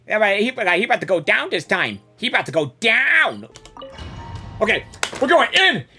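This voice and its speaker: Game reaction streamer, bright voice with big pitch swings, excitable, repetitive phrasing, casual. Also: moderate pace, faint music behind. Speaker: gaming streamer.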